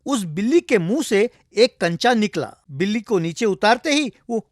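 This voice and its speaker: A man reads a scripted comic folktale as narrator. His voice is high for a male speaker. The recording is close and dry, with no room sound. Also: lively intonation, normal speed, dry recording. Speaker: storyteller.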